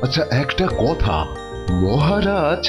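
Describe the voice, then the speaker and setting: A man playing a solemn, pompous royal physician in a kids' cartoon, speaking haltingly as he ponders. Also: on the high side, very animated, music in the background. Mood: solemn, reassuring. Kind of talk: Bengali kids' cartoon voice acting.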